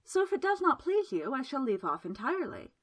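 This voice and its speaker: A woman's voice: sits high, sing-song, brisk, voice pushed up. A woman voicing Miss Absalom, a teasing temptress. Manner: soft, coaxing and flirtatious. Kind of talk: audiobook character voice.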